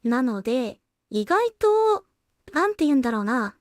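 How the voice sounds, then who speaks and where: A woman with a slightly high voice gives a casual work-along commentary. She thinks aloud in an unhurried way, often trailing off into remarks meant for herself. The sound is fairly dry, with little room around the voice. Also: sing-song, dry recording. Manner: unhurried musing with trailing, self-directed remarks. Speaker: solo animation creator and streamer.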